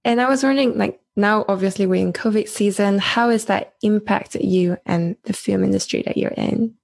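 A woman's voice: mid-range voice, normal speed, creaky, darkish tone. A woman from East Malaysia, asking questions in a casual, conversational interview style.

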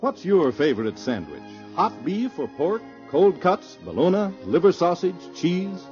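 A man's voice: high-pitched, very animated, a little slow, dull audio, over music. An American man reading a scripted 1950s radio commercial on an old recording. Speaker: commercial announcer.

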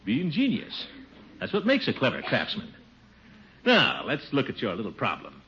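A blustery middle-aged American uncle in a 1950s radio comedy. He is pompous and boastful, with a lively swooping delivery and chuckles. The old recording sounds dull. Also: medium pitch, moderate pace, vocal fry, exaggerated voice. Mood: lecturing.

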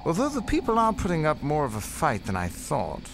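An actor playing an arrogant, coldly gleeful young aristocrat tyrant in a space-fantasy audio drama, sneering and theatrical. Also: male voice, fairly high pitch, wildly swooping pitch, brisk, creaky, noisy background, full character voice. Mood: smug cruelty.

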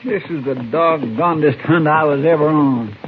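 A folksy, querulous frontier sidekick with a fairly high voice and a rural American twang, played by a male actor. He is loyal but grumbling, in radio western style. The old recording is thin and plainly noisy. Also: normal speed, phone-quality sound, lightly acted. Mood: puzzled, grumbling. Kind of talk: 1950s radio western acting.

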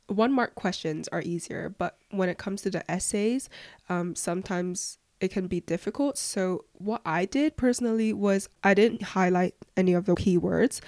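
A young woman with a voice croaky from flu, giving casual, earnest advice as a podcast host answering questions. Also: on the low side, darkish tone, no room sound, clean studio sound. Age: young adult.

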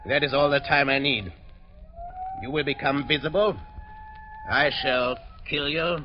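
An actor playing a cold-blooded, urbane doctor villain in an old-time radio drama, speaking with measured, polite menace and deliberate hesitations. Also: male voice, medium pitch, fairly even intonation, faint music behind, natural voice. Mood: gloating threat. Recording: dull, noisy old radio recording.

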